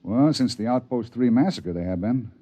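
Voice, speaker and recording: A gruff frontier father, played by a man talks in a folksy, slangy drawl. His pitch swings widely through each line. It is old radio drama acting. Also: lively intonation, normal speed, warm tone, natural voice.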